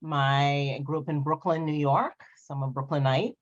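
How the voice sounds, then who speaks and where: A woman from Guyana, a social work professor, introducing herself at an unhurried pace on a video call. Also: deep voice, steady tone, highs cut off. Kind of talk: casual self-introduction.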